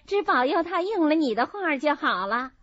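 A woman's voice: high-pitched, fairly bright tone, no room sound, pitched above the performer's own voice, exaggerated voice. A woman voicing a shrewd, gracious lady of a wealthy household in an audiobook, chatty and in command. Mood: pleased, thankful. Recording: dull, muffled audio.

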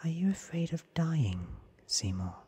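A man voicing a weary, ancient female vampire in a hushed, blunt, whispery ASMR storybook reading. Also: fairly high pitch, big pitch swings, a little slow, pitched above the performer's own voice, full character voice. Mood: knowing, blunt. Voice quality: breathy, whispery.

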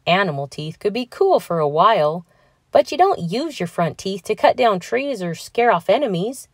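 Expressive woman reading aloud for children. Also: mid-range voice, moderate pace, slightly rough, no echo, clean studio sound. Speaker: children's read-aloud narrator. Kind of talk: scripted storybook narration for children.

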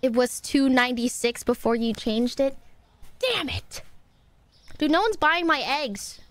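A young woman chatting casually through a live game stream with a fairly high voice. She grumbles and jokes at her viewers, playful and quick to get exasperated. Her talk stays loose and unscripted. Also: lively intonation. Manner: playful, easily exasperated, talks to chat.

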